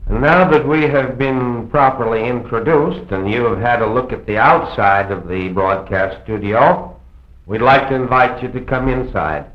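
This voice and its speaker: An echoey, dull old film soundtrack carries a man speaking as a radio host. He addresses his audience formally and courteously in measured, oratorical phrases. His pitch sits in the middle, in the manner of mid-century broadcasting.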